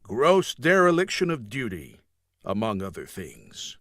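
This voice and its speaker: A stern junior army officer, played by a man reading an audiobook, speaks with formal contempt. His pitch moves widely as he lectures and accuses. The sound is close and dry. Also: sing-song, unhurried, fairly bright tone, dry recording. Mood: cold, accusing. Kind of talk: audiobook character dialogue.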